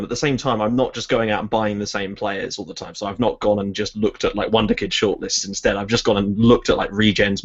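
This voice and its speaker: A man talking as a guest on a podcast, relaxed and self-deprecating, rambling a little as he explains his game, over a dull lo-fi online call. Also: lowish voice, breathy edge. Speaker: guest, game video creator. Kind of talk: casual chat.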